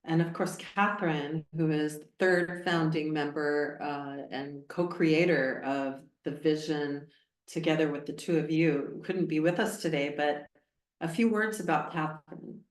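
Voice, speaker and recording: A woman with a low voice hosts a podcast interview and asks her guests calm, measured questions. The room she records in is echoey. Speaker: podcast host. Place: inside a converted train station building.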